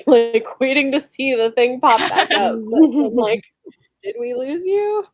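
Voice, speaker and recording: A woman's voice: high voice, unhurried, no room sound. A woman in an informal book discussion over a video call, talking loosely and quoting her own reactions. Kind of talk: casual book discussion chat. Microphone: online group video call.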